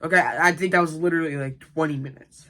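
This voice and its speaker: A man's voice: on the high side, fairly even intonation, fast, a bit bright. A young man hosting a gaming video with casual, exasperated, repetitive reaction chat.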